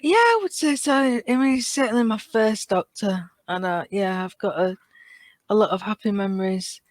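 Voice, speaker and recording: Female podcast guest, medium pitch, informal conversational delivery, dry sound with no room echo. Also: normal speed. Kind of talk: casual chat.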